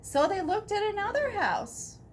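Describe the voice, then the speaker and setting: A woman reads a children's story aloud. Her voice is high and very lively in its rise and fall, and she takes her time. There is faint noise in the background. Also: measured pace. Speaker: storybook reader. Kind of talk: expressive children's read-aloud narration.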